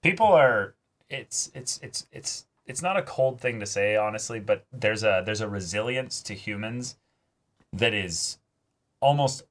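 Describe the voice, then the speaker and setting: A man with a fairly low voice talks through his thoughts in a casual reaction show. He is reflective and somewhat halting, with loose, conversational language. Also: animated, a bit bright. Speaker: host of an anime reaction show.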